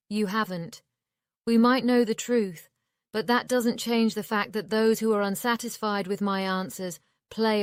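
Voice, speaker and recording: Woman acting young oracle, determined, earnest, smooth clear voice, audiobook drama, dry. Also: medium pitch, no room sound, close to an everyday voice. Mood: bitter, defiant. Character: determined young female oracle yearning for freedom.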